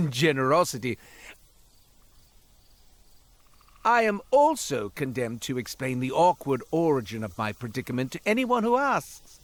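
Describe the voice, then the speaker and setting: A man's voice: medium pitch, fairly bright tone, no room sound. An actor playing a cursed, self-pitying merchant in a video game, with wry, florid, melodramatic salesman patter. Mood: melodramatic lament. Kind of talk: scripted video game character monologue.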